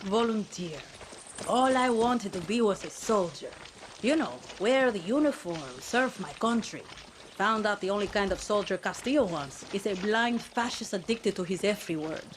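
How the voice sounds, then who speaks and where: A tough young rebel woman and ex-soldier, voiced by a woman, brisk and wry as she lays out the plan. Her voice sits in a middle range, with faint ambience behind. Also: medium pitch, lively intonation, a little hiss or hum, lightly acted. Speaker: guide giving mission briefings. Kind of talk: video game cutscene acting.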